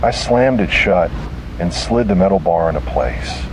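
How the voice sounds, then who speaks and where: Low-voiced man narrating horror over noise. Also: animated, moderate pace. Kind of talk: scripted first-person horror narration.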